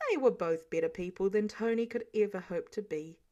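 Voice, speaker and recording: A woman reads fiction aloud as an amateur audiobook narrator. Her voice sits at a medium pitch. The delivery is scripted storytelling. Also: brisk, dark tone, muffled recording.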